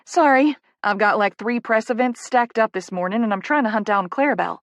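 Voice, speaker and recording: A woman voicing a tiny, bubbly Southern publicity woman who gushes excitedly and emphatically in a Southern US accent, in an audiobook. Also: on the high side, no echo, natural voice. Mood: flustered. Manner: effusive, emphatic, rapid gushing chatter.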